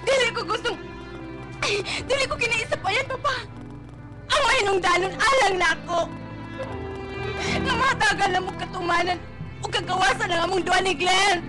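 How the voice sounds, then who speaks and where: A young Filipina daughter in a radio melodrama. Her voice is extremely high, pleading and tearful, yet defiant and emphatic. Dramatic music plays clearly behind her. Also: normal speed, long pauses.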